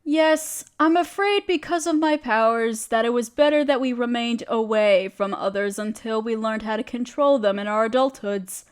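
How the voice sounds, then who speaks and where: Woman playing a high-voiced, genteel friendly witch. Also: normal speed, hardly any pauses, clean tone, lightly acted. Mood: kindly, reflective. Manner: quaint, dainty phrasing with endearments. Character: friendly, genteel young female witch, chatty and kindly. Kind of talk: scripted audio roleplay speaking to the listener.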